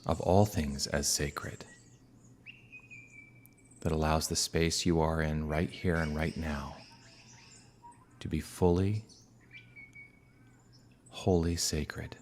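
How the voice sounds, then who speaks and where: A man speaking as a minister leading a guided meditation, calm and unhurried, with long soothing pauses. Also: a bass voice. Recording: birdsong and outdoor ambient noise behind. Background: birdsong.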